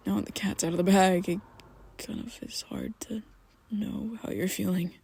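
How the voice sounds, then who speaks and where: A woman voicing a shy, tender young male vampire best friend in an ASMR roleplay, whispering softly with hesitant, halting speech. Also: mid-range voice, steady tone, creaky, slight background noise, natural voice.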